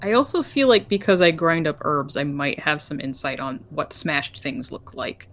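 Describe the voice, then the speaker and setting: A woman's voice: deep voice, moderate pace, fairly bright tone. A woman playing a tabletop game, chatting casually in a wordy, inquisitive way and often rephrasing herself. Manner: inquisitive, wordy, self-checking. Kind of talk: casual chat. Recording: clear background noise, room ambience.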